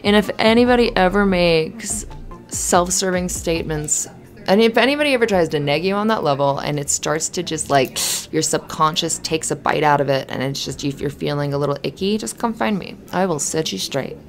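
A woman playing a cute, flustered, flirty barista in an ASMR roleplay, kind and giggly, with halting, rambling talk. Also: deep voice. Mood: playful, kind. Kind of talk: ASMR roleplay, conversational acting. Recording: music under the voice. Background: music.

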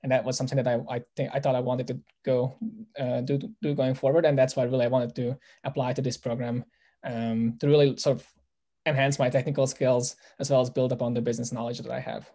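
A man from Hungary speaks on a video call panel in a measured, slightly hesitant way. His voice has a warm, mellow tone and sits at a middle pitch. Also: flat delivery. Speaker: alumnus panelist.

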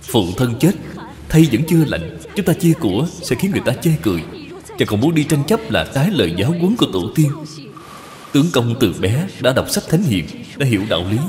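A man voicing a gentle, dutiful young wife in a cartoon moral tale, speaking formally and persuasively. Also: mid-range voice, sing-song, fairly quick, close to an everyday voice. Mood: earnest pleading. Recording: long reverb, music behind.

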